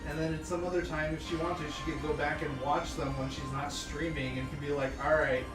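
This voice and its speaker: A man's voice: fairly high pitch, level tone, fairly quick. A man co-commentating on a game stream in casual chat, opinionated and explanatory, swearing freely. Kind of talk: casual chat about games. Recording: roomy, distant mic with music behind. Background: music.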